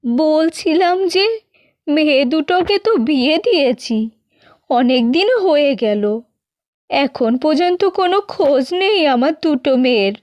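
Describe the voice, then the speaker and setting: Plaintive high-voiced mother, played by a woman. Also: expressive, darkish tone, dry recording. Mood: longing, pleading. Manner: pleading, slow, plaintive. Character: tender middle-aged mother missing her married daughters. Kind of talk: scripted cartoon dubbing.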